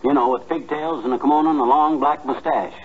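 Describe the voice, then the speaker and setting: Folksy blustering husband, tinny old radio. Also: male voice, on the high side, steady tone, fast talker, breathy edge. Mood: boastful, busy. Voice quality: folksy, slangy diction. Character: folksy, blustering, boastful middle-aged husband. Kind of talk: 1950s radio comedy acting.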